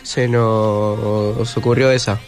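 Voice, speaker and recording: A man's voice: lowish voice, level tone, slow. A teenage boy, a school student, giving hesitant answers in an interview.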